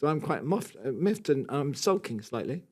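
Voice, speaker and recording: A man's voice: a little high, very animated, moderate pace. An English man co-hosting a podcast, chatting casually and reading out questions in a playful, teasing way with dry humour. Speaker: podcast co-host.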